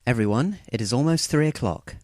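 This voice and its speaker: A man playing a calm, courteous, secretive teenage boy who makes measured announcements in an anime-style audio drama. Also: mid-range voice, no room sound, clean studio sound, lightly acted. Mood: polite, formal.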